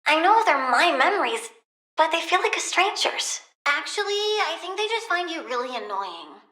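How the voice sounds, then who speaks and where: A woman's voice: high-pitched, very animated, moderate pace, sharp tone, echoey room, full character voice. A woman voicing a young, childlike plant-woman supervillain in a video game, curious, blunt and petulant, with an inquisitive, awkward manner. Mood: matter-of-fact. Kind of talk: video game character acting.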